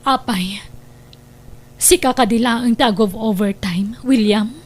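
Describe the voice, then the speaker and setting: A woman's voice: a little high, slow. A woman playing a hurt, suspicious wife and mother in an Ilocano family radio drama, pleading and probing.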